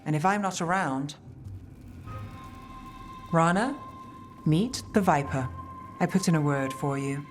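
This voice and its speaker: Low-voiced, dry woman detective. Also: unhurried, long pauses, faint music behind. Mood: dry, composed. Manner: dry, understated. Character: cool, world-weary female detective, dry and guarded. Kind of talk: video game voice acting.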